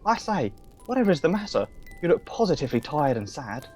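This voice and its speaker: An actor playing a meek, polite, good-hearted Victorian clerk in a radio play. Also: male voice, on the high side, very animated, fast talker, a little mellow, slightly rough, faint music behind. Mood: cheerful.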